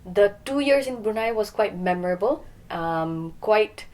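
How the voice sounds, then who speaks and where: A woman's voice: mid-range voice, expressive, measured pace, a little mellow, slight background noise. A young woman from Nepal, a podcast guest, talking casually with frequent ums and some Nepali phrases mixed in. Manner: hesitant, many ums, mixes Nepali phrases. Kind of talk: casual interview talk.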